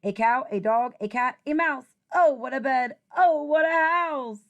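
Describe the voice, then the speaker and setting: A woman teacher reading a children's rhyming picture book aloud in an expressive, deliberate, singsong way. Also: high-pitched, dull audio.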